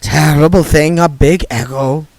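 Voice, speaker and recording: A man's voice: a little high, fairly even intonation, a little slow, a little mellow, dry recording, voice pushed up. A man voicing a chatty, folksy old woman witch. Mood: nervous.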